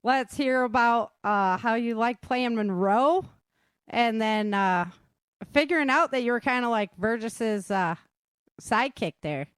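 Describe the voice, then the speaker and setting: A woman hosting a relaxed, conversational interview as an author and producer. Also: a little high, unhurried, clean tone, no echo, studio quality. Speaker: host, author and producer of an audio drama. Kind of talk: casual interview chat.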